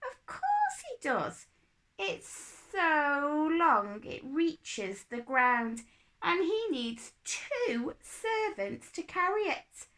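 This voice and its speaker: A woman voicing an imperious, boastful little princess in a children's story, with a haughty, brisk, emphatic manner. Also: high-pitched, wildly swooping pitch, a bit bright, pitched above the performer's own voice, exaggerated voice. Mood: airy, superior.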